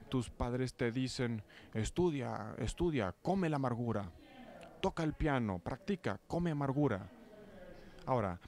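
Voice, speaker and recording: A man working as a live interpreter of a talk, phrasing haltingly and correcting himself. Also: medium pitch, a little mellow. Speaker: simultaneous interpreter.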